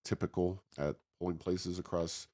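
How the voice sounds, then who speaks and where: A man hosting a conversational interview, phrasing his questions in a halting, searching way. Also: deep voice, steady tone, warm tone. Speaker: interview host.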